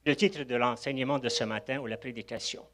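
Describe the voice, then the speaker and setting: A man's voice: medium pitch, fairly even intonation, fairly quick. An older man from Egypt, a guest preacher giving a sermon, telling anecdotes with chuckling asides. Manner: warm storytelling with asides and chuckles.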